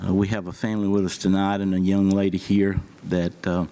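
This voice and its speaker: Deep-voiced Southern man at a podium. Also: flat delivery, fairly quick, warm tone. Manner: plain-spoken, many fillers. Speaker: emergency services official. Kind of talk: public remarks at a meeting. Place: county board meeting room.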